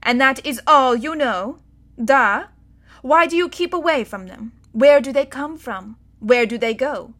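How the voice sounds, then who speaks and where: A woman voicing a strict, stern older female tutor who gives commands in a clipped, forceful way. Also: high voice, expressive, measured pace.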